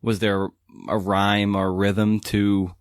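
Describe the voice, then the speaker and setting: A man's voice: on the low side, little pitch movement, slow pace, smooth, no echo. A man hosting a podcast, speaking close to the microphone. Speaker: podcast host, interviewer.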